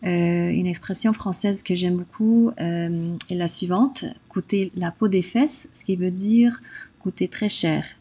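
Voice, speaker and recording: A woman's voice: lowish voice, unhurried, warm tone, breathy edge. A woman from Canada, a native English speaker with an English-Canadian accent, giving a prepared personal explanation.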